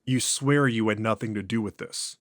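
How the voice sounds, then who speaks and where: A man's voice: fairly low pitch, normal speed, no room sound, studio quality, natural voice. Earnest, persistent interrogator, voiced by a man in a horror audio drama. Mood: persuasive. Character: earnest male interrogator at a secure facility.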